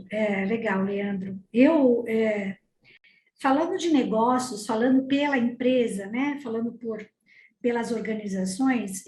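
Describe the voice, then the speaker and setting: A woman's voice: sits high, darkish tone, a little hiss or hum. A woman speaking earnestly on a panel, asking rhetorical questions. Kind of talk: webinar panel discussion. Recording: echoey room.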